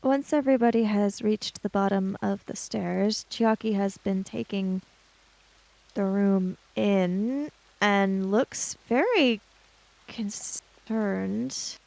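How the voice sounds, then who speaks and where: A woman roleplays in a tabletop game over a bed of rain. Her voice is soft-edged and mellow with some creak, and she speaks very slowly and hesitantly, moving between her character's words and third-person narration. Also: mid-range voice, low-quality recording. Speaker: player in a tabletop roleplaying podcast.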